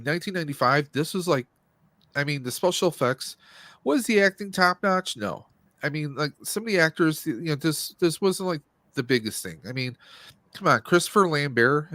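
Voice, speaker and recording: A man co-hosting a live stream, giving casual movie commentary in a rambling, halting way as he searches for words. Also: medium pitch, fairly bright tone, no echo.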